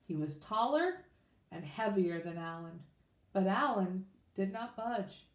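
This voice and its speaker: A woman reading a children's storybook aloud in an expressive, informal way. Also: mid-range voice, slow. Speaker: children's book reader. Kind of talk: read-aloud storybook narration. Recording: echoey room.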